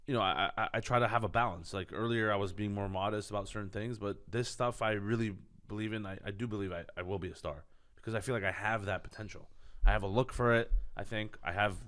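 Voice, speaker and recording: A man's voice: on the low side, fast talker, fairly bright tone. A man from Canada, a comedian guest, talking candidly and self-assuredly in a studio interview. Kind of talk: casual interview talk.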